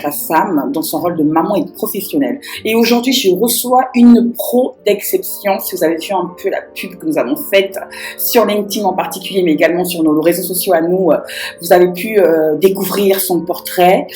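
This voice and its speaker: Hoarse woman hosting live radio, roomy sound. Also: lowish voice. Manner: rambling, searching for words. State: losing her voice. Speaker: radio co-host.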